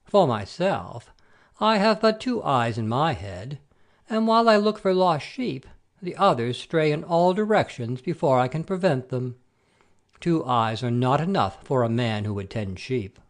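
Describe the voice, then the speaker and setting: A man playing a wily messenger god posing as a simple shepherd, with an expressive storyteller's delivery in an amateur audio play. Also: medium pitch, a little slow. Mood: friendly, humble.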